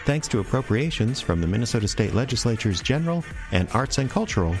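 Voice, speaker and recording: A man's voice: medium pitch, sing-song, fast, faint music behind. A man with an American accent, a podcast announcer reading scripted show credits.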